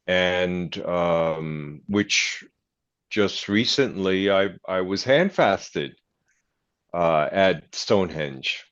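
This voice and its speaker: An older man, a priest and author, speaking reflectively as an interview guest in a deliberate, earnest, halting way, often repeating phrases. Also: low-pitched, fairly bright tone, highs cut off.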